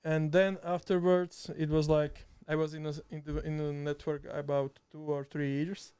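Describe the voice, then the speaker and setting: A Slovak man chatting in halting, hesitant English, full of restarts and laughter, sitting a bit far from the mic. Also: on the high side, level tone, dark tone, clean tone. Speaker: streamer and video editor guest. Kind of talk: casual chat. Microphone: too far from the mic.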